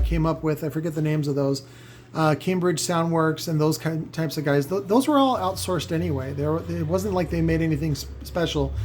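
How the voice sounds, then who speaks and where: A man's voice: on the high side, darkish tone, slight background noise. A middle-aged man hosting a casual, opinionated review vlog, rambling and emphatic, repeating phrases for stress and checking in with his listeners. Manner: often checks in with "right?" and "okay?". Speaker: host of a home audio review vlog. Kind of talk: casual opinionated monologue to viewers.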